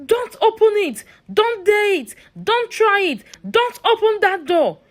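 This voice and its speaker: Woman acting old female cleaner, raised pitch, commanding, insistent, protective, horror storytelling. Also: big pitch swings, a little slow, full character voice. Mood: alarmed.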